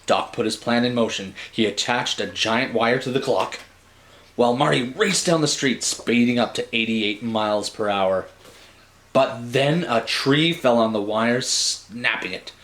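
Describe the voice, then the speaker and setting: A man's voice: medium pitch, a little slow, a bit bright, slight background noise. A man narrating a story like a storybook, theatrical and dramatic, with wry asides.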